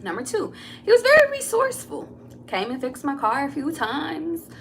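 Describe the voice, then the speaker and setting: A fairly high-voiced woman whose pitch swings widely as she talks. She is a tarot reader chatting with her viewers, blunt and candid, swearing freely. A faint hum of background noise sits under her voice. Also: huge pitch swings, moderate pace. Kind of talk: casual personal storytelling to viewers.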